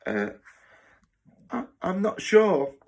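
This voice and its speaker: A man's voice: a little high, animated, moderate pace, fairly bright tone, voice pushed up. A man voicing a polite, hesitant boarding-school boy in a children's story, with a pleading manner. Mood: hesitant, explaining.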